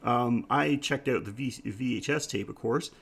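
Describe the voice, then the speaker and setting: A man's voice: medium pitch, normal speed, gravelly, a bit bright, husky. Man chatting enthusiastically as podcast host. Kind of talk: casual film review chat.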